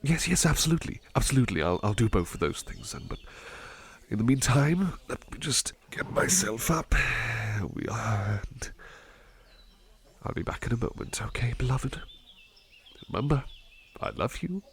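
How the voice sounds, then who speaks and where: A man's voice: medium pitch, animated, moderate pace, close to an everyday voice. A man playing a devoted, affectionate butler in an ASMR roleplay, speaking politely and tenderly with hesitant stammers. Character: devoted, affectionate male butler, doting and flustered. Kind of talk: ASMR roleplay, soft personal attention.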